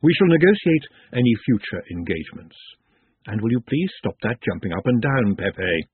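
A man's voice: medium pitch, very animated, normal speed, dry recording. A man voicing a shrewd, grand female dwarf who runs fashion shows in a storybook, confiding and condescending. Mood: businesslike. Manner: smooth-talking, confiding, condescending. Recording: muffled phone-like narrow band.

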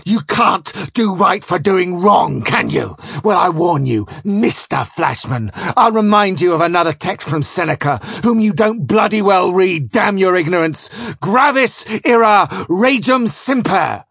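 A man's voice: mid-range voice, expressive, moderate pace, no echo, clean studio sound, close to an everyday voice. A man voicing a pompous, scholarly, bad-tempered ship's captain in an audiobook story, pedantic and declamatory. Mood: raging, bawling. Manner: pedantic, declamatory, quoting Latin.

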